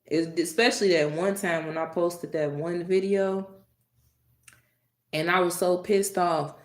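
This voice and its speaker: A woman hosting a live stream, talking casually and directly to her chat, animated and exasperated with commenters. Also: lowish voice, measured pace, echoey room.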